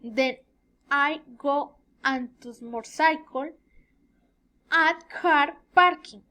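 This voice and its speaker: Female student, 20s, native Spanish speaker with Colombian accent. High voice, extremely slow delivery, hesitant word-searching in a self-introduction. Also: bright, forceful tone. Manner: halting, searching for words. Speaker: student giving an oral presentation. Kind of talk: rehearsed self-introduction for a language class.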